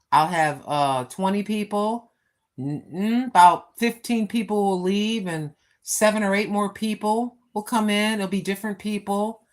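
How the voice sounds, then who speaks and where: A woman in her sixties chatting casually on a live stream from home, rambling with many fillers and talking straight to her viewers. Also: mid-range voice. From United States. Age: 60s. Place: home, seated. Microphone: phone.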